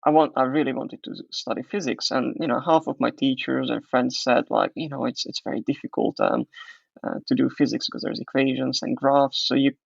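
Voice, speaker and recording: A man's voice: mid-range voice, a little fast, vocal fry, no echo. A young man with a Hungarian accent, telling his story thoughtfully as an interview guest and often restarting his sentences. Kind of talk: conversational interview answer. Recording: muffled dry audio.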